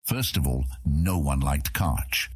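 A man reads a fantasy story as narrator. His voice is low and husky with animated rises and falls, captured close in a dry studio sound. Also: slow pace, no room sound. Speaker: audiobook narrator.

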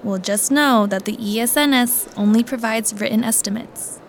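An actress playing a friendly, meticulous coin appraiser in an audio drama, speaking in a helpful, precise, conversational way. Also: female voice, fairly high pitch, normal speed, a little hiss or hum, lightly acted. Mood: helpful, matter-of-fact. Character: friendly, meticulous woman appraising coins at a show booth.

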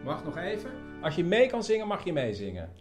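Man, lively storyteller with higher pitch. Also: fairly quick, over music. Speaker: host and narrator, interviewing his father. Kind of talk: casual conversation and personal storytelling narration.

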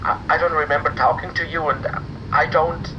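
An actor playing a wary, bewildered man on the telephone in an audio drama, halting and stammering. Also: fairly even intonation. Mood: bewildered, apologetic. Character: bewildered, wary man answering a stranger's call. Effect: telephone filter. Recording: muffled phone line with faint rumble.

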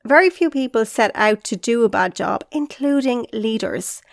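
A woman's voice: fairly high pitch, animated, normal speed, vocal fry, no echo, clean studio sound. Upbeat woman, a bit nasal. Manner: upbeat, encouraging, direct to listener. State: congested, nasal from illness. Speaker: podcast host and leadership coach. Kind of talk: solo podcast talk.

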